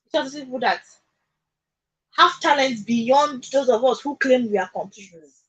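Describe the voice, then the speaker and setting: A woman's voice: high-pitched, moderate pace, fairly bright tone, slightly rough, highs cut off. A Nigerian woman speaking as a radio guest in conversational advocacy, emphatic, repeating her points and checking that she is understood. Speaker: radio guest discussing social issues. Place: radio studio.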